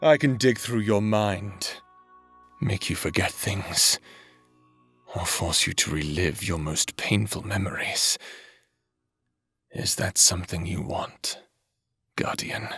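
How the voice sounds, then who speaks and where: Guy doing a cold necromancer villain, calm and threatening, audio drama. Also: deep voice, slow pace, pauses often, breathy edge, no echo, clean studio sound, faint music behind, full character voice. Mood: menacing. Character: menacing male necromancer, scheming and cold.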